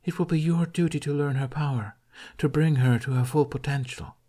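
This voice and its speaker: Man acting a solemn prophet, pitched up, portentous. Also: brisk, mellow, lightly acted. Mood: solemn, commanding. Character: solemn prophetic speaker bestowing a sacred weapon.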